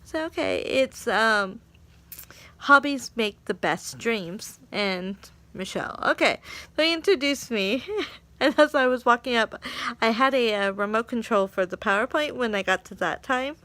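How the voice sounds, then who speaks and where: A woman's voice: a little high, animated, unhurried, pushed and bright, no room sound. A young woman telling a story from her day in a casual vlog. She laughs often and acts out what people said. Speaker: English teaching assistant.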